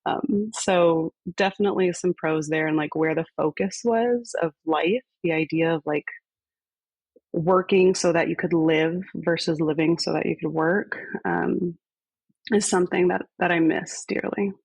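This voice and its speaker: A woman in her thirties, a podcast guest, talking in a relaxed, reflective way with many fillers. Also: on the low side, unhurried, gravelly, no room sound, poor audio. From grew up on a base in Spain. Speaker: guest, fire department fitness coordinator. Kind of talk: conversational podcast interview.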